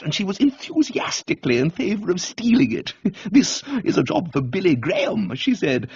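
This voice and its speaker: Male reader as excitable middle-aged aunt, English accent, husky high voice, gushing, bossy, comic radio story, slightly dull recording. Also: dry recording, full character voice. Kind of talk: comic radio book reading.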